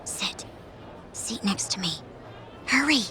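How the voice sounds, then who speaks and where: A woman's voice: a little high, lively intonation, slow pace, sharp tone, in a whisper, full character voice. Secretive, insistent young woman. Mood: urgent, secretive. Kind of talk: English game dub cutscene acting. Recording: music behind the dialogue.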